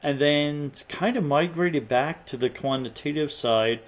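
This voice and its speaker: A man's voice: fairly high pitch, unhurried. A middle-aged man with a Philadelphia accent, talking as a podcast guest. He rambles a little, with restarts and fillers, in a loose, conversational way. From Pennsylvania. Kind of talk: casual interview conversation.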